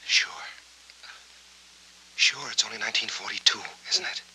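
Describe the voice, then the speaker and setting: A man's voice: on the low side, moderate pace, bright, forceful tone, in a whisper. An actor playing a troubled, insistent man seeking answers in a 1940s film noir, talking in terse, urgent questions. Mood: guarded, probing. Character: tense, insistent amnesiac veteran hunting answers, noir hero. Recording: noisy, humming 1940s film soundtrack.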